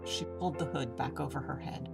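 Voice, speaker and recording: A woman tells a scripted fantasy story as its narrator. Her voice is set quite low. Plucked string music plays prominently all the way behind her. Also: brisk, gravelly. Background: plucked string music, guitar.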